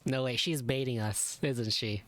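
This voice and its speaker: A woman's voice: rumbling low, moderate pace. A woman giving casual, amused live reaction commentary as she plays a video game. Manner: amused, giggly asides.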